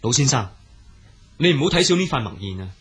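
A man acts out a humble young scholar in a radio folk tale. The voice is very husky and mid-pitched, with lively intonation. He explains things earnestly and respectfully. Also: fast talker, full character voice. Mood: earnest, polite. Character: earnest, polite poor young male scholar of a frontier town. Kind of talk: Cantonese storytelling radio drama acting.

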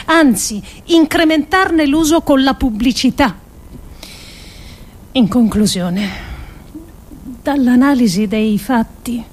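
High-voiced woman delivering a radio monologue. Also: darkish tone. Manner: rhetorical, deliberate, with emphatic pauses. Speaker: actress reading an adapted essay on radio. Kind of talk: dramatic scripted monologue for radio.

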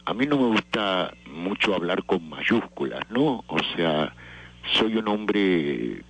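An older man, a theatre organizer, answering interview questions thoughtfully with many pauses and fillers. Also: low voice, big pitch swings, a bit bright, breathy edge, highs cut off. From not Venezuela.

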